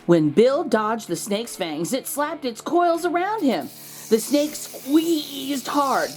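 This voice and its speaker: A woman's voice: fairly high pitch, darkish tone. A woman reading a children's picture book aloud expressively, drawing out key words for emphasis. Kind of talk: expressive storybook read-aloud.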